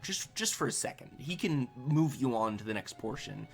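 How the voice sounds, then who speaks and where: A man running a tabletop game, improvising narration in a halting, conversational way. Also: medium pitch, lively intonation, creaky, soft background music. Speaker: game master.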